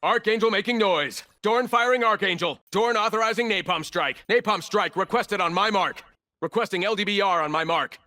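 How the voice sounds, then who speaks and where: Video game operator: ruthless corporate soldier, high, sharp voice, clipped orders, cold contempt. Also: male voice. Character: ruthless, arrogant male military operator and cold corporate commander. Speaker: playable operator in a military shooter game. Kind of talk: video game combat barks and callouts.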